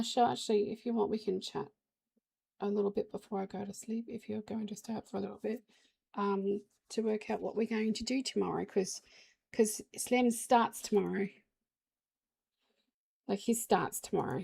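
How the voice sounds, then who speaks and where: A woman live streamer with the flu, hoarse, chatting casually and rambling to her viewers. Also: mid-range voice, fairly even intonation, normal speed, a little mellow.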